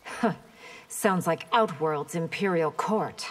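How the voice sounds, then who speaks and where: A woman playing a haughty, battle-hardened female army commander in a video game, imperious and deliberate. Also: low-pitched, big-room sound, very noisy. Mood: haughty, cool. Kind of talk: video game character acting.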